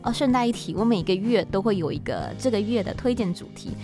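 A woman's voice: mid-range voice, animated, fast. A woman with a Taiwanese accent hosting a podcast in casual solo talk, chatty, informal and a little self-deprecating. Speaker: podcast host. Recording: music playing under the voice. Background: background music.

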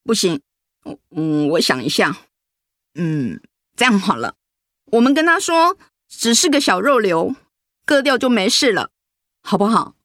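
A protective, flustered mother in a radio drama. Her Taiwanese Mandarin is very animated in pitch and fretfully hesitant, recorded close and dry. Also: unhurried, long pauses, no echo. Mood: flustered, insistent. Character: protective, flustered middle-aged mother hiding bad news.

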